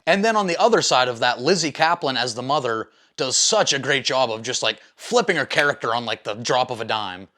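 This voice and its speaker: A man's voice: mid-range voice, expressive, brisk, few pauses, bright, forceful tone. A man hosting a casual film review, talking in an informal, enthusiastic, slangy and opinionated way. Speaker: movie reviewer, video host.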